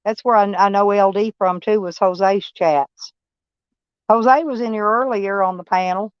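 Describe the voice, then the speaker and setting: Live-stream host: a blunt, folksy Texas-accented woman, smooth voice, dull lo-fi audio. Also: mid-range voice, steady tone, a little slow, dry recording. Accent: Texan. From Kentucky, USA. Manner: rambling, folksy, blunt and swearing. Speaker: livestream host. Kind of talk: casual live-stream chat with viewers.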